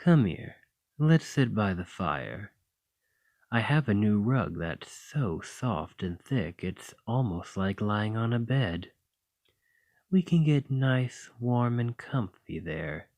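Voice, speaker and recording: A man playing a slow, teasing dragon lover. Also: fairly low pitch, expressive, no echo. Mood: tender. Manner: soft, playful and coaxing. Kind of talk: ASMR roleplay.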